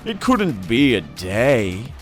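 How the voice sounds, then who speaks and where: A man reading dark science fantasy as dramatic narration, with theatrical, menacing emphasis and deliberate pauses. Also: medium pitch, wildly swooping pitch. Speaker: narrator reading an audiobook excerpt. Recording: music under the voice. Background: dramatic background music.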